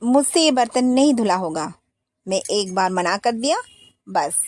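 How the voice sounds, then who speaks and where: A woman's voice: mid-range voice, expressive, a little slow, darkish tone, dry recording, close to an everyday voice. A woman voicing a lazy, vain young wife who whines to get out of chores. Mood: whiny. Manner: whiny, pleading.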